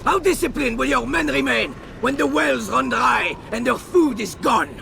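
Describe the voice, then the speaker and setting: An actor playing a menacing Templar knight commander in a video game, shouting threats in a strained, gravelly, declamatory voice. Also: male voice, very high-pitched, expressive, normal speed, a little hiss or hum, exaggerated voice. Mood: furious, threatening. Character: menacing enemy Templar knight commander, male, besieging a fortress. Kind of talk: video game cutscene acting.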